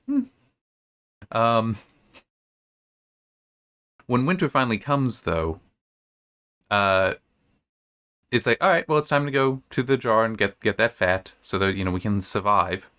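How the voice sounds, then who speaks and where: Low-voiced man rambling through book commentary. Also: animated, a little slow, long pauses, pushed and bright. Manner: rambling, thinking aloud. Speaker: host reviewing assigned reading.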